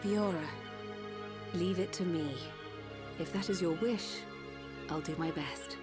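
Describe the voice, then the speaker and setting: Female Machina doctor, calm, precise, slightly low, game music behind. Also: slow pace. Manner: calm, clinical explanations.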